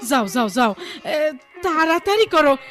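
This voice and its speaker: A woman's voice: high voice, sing-song, measured pace, a bit bright, faint music behind, heavily acted. A woman playing a caring, insistent wife and mother in a radio drama. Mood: urgent. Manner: insistent, reassuring. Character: caring, steadying young wife and mother of a boy. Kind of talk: Bengali radio drama acting.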